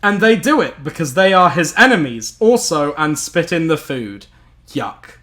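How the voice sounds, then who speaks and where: A man's voice: on the high side, sing-song, a little slow, fairly bright tone. A man hosting and reading a story aloud among friends, playful and mock-dramatic, slipping into casual banter.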